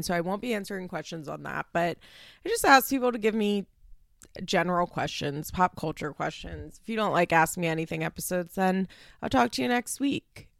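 A woman podcast host with a lowish, gravelly voice, a little stuffed up. She chats loosely with plenty of "like" fillers and casual swearing, recorded dry and close. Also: no echo. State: stuffed up, congested.

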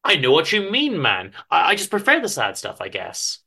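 A man's voice: on the high side, huge pitch swings, moderate pace, creaky, pushed and bright, slightly rough, voice pushed up, full character voice. A man voicing a thoughtful young literary friend with a casual, mildly hesitant manner in a story reading. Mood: conceding, thoughtful.

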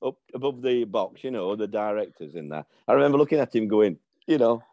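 An older man tells stories in a low, mellow voice, slipping into the voices of the people in them. The sound is dry and close, with almost no room around it. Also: brisk, no room sound, highs cut off. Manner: animated storyteller, acts out dialogue. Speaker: former football manager telling stories. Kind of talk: casual anecdotal chat.

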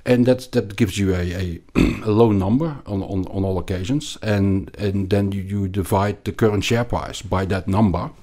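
Finance guest: middle-aged Belgian man, accented, very deep voice, halting delivery. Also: mellow. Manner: hesitant, many repeated words and restarts. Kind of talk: conversational interview.

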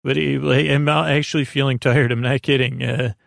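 A man hosting a bedtime podcast in a gravelly voice, rambling hesitantly and repetitively in a monologue meant to lull listeners to sleep. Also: mid-range voice, steady tone, a little fast, no room sound, studio quality. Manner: meandering, hesitant, repetitive, with murmured asides. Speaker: podcast host.

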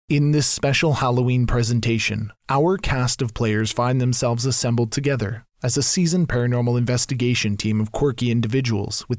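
A man's voice: mid-range voice, a little mellow, dry recording, studio quality. A man hosting a special, reading a scripted introduction as its narrator.

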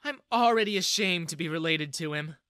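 A man voicing a playful, blunt teenage daughter in an animated story. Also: on the high side, a little fast, no echo, studio quality, pitched above the performer's own voice. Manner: playful, teasing.

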